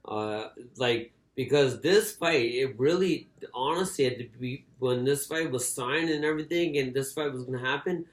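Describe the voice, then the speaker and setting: A man co-hosting a casual sports podcast, speaking haltingly and often repeating his words as he goes. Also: medium pitch. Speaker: boxing podcast co-host. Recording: echoey, roomy sound.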